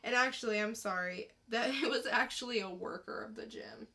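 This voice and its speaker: A woman's voice: on the high side, fairly quick, sharp tone. A woman co-hosting a podcast, telling stories in casual conversational banter. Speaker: podcast co-host.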